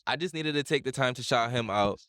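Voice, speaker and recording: A man's voice: medium pitch, brisk, fairly bright tone, clear, resonant tone, no echo, clean studio sound. A man hosting a casual podcast, talking in emphatic slang with frequent swearing. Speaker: podcast host. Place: indoor lounge smoke shop.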